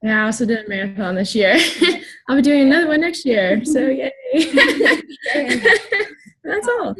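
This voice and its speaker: A woman's voice: high-pitched, sing-song, measured pace, a bit bright, husky. A young woman from Florida, a graduate student introducing herself in class, bubbly and a little hesitant, giggling. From Florida, USA.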